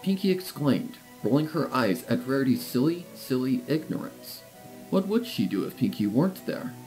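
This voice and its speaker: A man narrating a scripted fan fiction story in a playful, expressive storytelling way. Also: mid-range voice, moderate pace, low-quality recording. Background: music.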